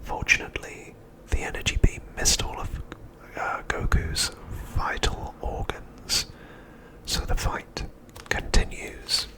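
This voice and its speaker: Whispering man narrating softly for ASMR. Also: rough recording. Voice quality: unvoiced, breathy whisper. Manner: soft, unhurried, hushed storytelling. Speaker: ASMR host.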